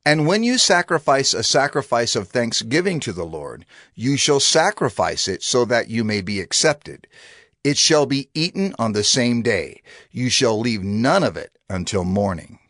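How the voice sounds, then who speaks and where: A man voicing a grave proclaimer of divine law, measured and authoritative. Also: medium pitch, sing-song, a bit bright, dry recording, studio quality, exaggerated voice. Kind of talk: dramatized scripture reading.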